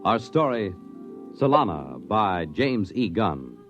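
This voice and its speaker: A male announcer in classic dramatic radio style, with a low voice and sweeping, emphatic intonation. It is a narrow vintage recording with prominent music behind him. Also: very animated. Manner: grand, emphatic, deliberate phrasing. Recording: band-limited vintage radio under loud music.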